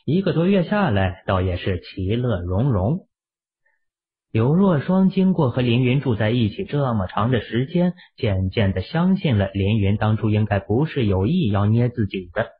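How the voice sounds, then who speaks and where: Husky lively male storyteller. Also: mid-range voice, normal speed. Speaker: audiobook narrator. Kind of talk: storytelling narration of a novel. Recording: tinny phone-like audio.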